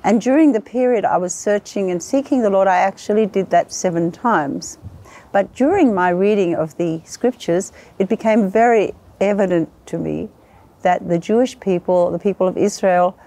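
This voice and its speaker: A middle-aged Australian woman from Sydney giving an earnest, measured personal testimony as a guest. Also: mid-range voice, mellow. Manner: earnest, measured, lists in careful steps. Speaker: guest, singer and worshipper.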